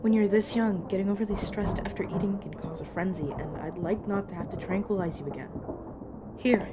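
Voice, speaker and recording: A woman's voice: mid-range voice, fairly quick, close to an everyday voice. A woman playing a weary, apologetic supernatural agent in an audio roleplay, explaining things patiently with hesitant, casual asides. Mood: calming, patient. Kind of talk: narrative audio roleplay acting, addressed to listener. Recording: clearly noisy, background ambience effects.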